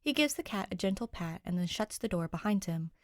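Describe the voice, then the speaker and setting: A woman's voice: medium pitch, expressive, brisk, no echo, studio quality. A woman giving a scripted narration of a story as an audiobook reader. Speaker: podfic narrator.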